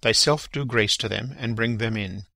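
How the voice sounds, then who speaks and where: An actor playing a stately, commanding king in a classical drama reading, formal and measured. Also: fairly low pitch, creaky, bright, forceful tone, no room sound, clean studio sound. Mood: expectant.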